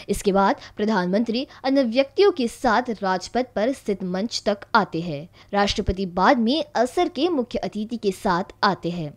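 A woman's voice: medium pitch, darkish tone. A woman reading a scripted news voice-over narration.

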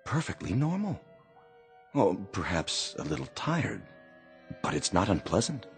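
Deep-voiced, polite robot manservant. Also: male voice, very animated, a little slow, gravelly, soft background music, exaggerated voice. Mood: drowsy, compliant. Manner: formal, deferential. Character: male robot servant, polite and obedient. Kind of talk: radio drama acting.

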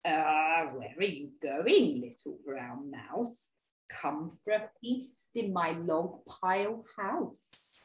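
A woman voicing a sly, easily frightened snake in a children's storybook, with exaggerated, drawn-out delivery. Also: low-pitched, lively intonation, distant mic. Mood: sly, inviting. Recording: roomy echo.

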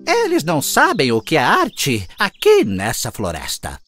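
A woman voicing a carefree, lazy grasshopper musician in a cartoon fable, proud but humbled, with an expressive, dramatic delivery. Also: sits low, unhurried, exaggerated voice. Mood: indignant. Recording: music behind the voice.

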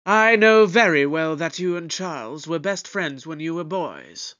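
A man plays a time-travelling detective in a sci-fi audio drama. He is pompous and suspicious, questioning deliberately in a notably high male voice. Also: pushed and bright, muffled recording. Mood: calm, interrogating.

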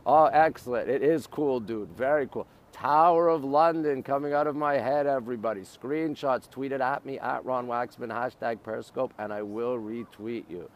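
A man's voice: medium pitch, moderate pace, hardly any pauses. A middle-aged man from Montreal with a North American accent, chatting casually as a live-stream host in short, repetitive, exclamatory bursts. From Montreal, Canada. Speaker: live-stream host showing city sights. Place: outdoors on an urban riverside.

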